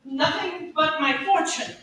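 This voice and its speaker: Honourable banished gentleman played by a woman, slow declamatory Shakespeare, echoey, noisy distant recording. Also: sits high. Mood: humble. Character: banished honourable young gentleman captured by outlaws. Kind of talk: staged Shakespeare reading by amateur campers. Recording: very echoey room, distant mic, clear noise.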